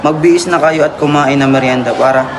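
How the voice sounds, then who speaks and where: A man's voice: fairly high pitch, steady tone, fairly quick, lightly acted. A man with a Filipino accent playing a dutiful, caring eldest son in an amateur radio drama. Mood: caring, responsible. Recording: loud music under the voice.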